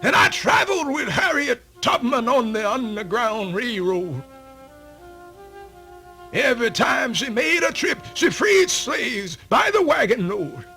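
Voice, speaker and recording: Radio play lead: a centenarian American yarn-spinner, spirited and folksy, bright gravelly voice, dramatic drawn-out delivery. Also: male voice, very high voice, sing-song, faint music behind, heavily acted. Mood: proud, reminiscing. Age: older. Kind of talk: theatrical radio monologue, folksy oral storytelling.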